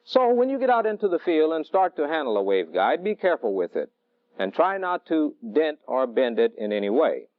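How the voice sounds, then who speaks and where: Male technical instructor, middle-aged, fairly high voice with varied intonation, measured teaching delivery, old training-film sound. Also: very animated, dry recording. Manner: deliberate, didactic, measured phrasing. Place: indoor training film studio. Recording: dull, dry vintage film soundtrack.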